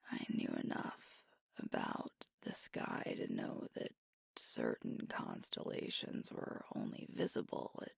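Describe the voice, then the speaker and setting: A tender werewolf girlfriend, acted by a woman, shares nostalgic stories in a breathy whisper for an ASMR roleplay. The delivery is slow and intimate, crackling with fry, and it sounds close and dry. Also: rumbling low, dry recording, heavily acted. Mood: gentle nostalgic. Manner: gentle, unhurried, intimate storytelling.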